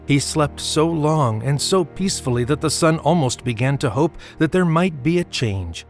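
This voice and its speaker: Male storybook narrator over soft music. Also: a little high, expressive. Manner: measured, literary storytelling. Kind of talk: scripted storybook narration for audio drama.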